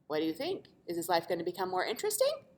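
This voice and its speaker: A woman teacher reading a storybook expressively to children, pausing to explain simply and ask questions. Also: fairly low pitch, slight background noise. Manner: animated, asks the listeners questions.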